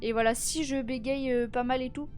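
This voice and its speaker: Tired, high-voiced teenage girl streaming. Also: fairly even intonation, normal speed, darkish tone, soft background music. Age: teens. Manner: chatty, greets viewers, many fillers and restarts. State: tired and stuffy from a cold. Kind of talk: casual live-stream chat.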